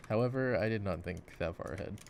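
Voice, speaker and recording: Male, very low voice, musing ASMR gamer chat, light clicking and typing behind. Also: fast talker, creaky, darkish tone. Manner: reflective, musing. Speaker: ASMR voice actor playing games. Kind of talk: intimate ASMR gameplay chat. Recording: clicking mouse and keyboard noise. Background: mouse clicks and keyboard typing.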